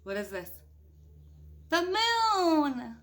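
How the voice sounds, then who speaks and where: Creaky-voiced woman reading to little kids. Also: sits high, very animated, very slow, a bit bright. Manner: playful, chatty, talking to small children. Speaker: storybook reader. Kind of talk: animated read-aloud of a picture book with casual asides to young listeners.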